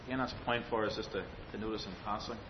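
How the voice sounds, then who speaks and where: Guy preaching a sermon, emphatic n deliberate, muffled recording w faint rumble. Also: fairly even intonation. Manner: emphatic, deliberate phrasing with rhetorical questions and repetition. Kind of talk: expository sermon. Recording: dull lo-fi sound, faint rumbling noise.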